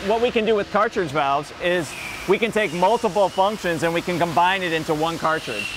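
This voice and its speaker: Middle-aged American male tour guide, conversational and unscripted, higher-than-average pitch, recorded on a noisy factory floor. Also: brisk. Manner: conversational, often checking with "right?". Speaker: company representative giving a factory tour. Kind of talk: casual, unscripted explanation. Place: large indoor factory floor.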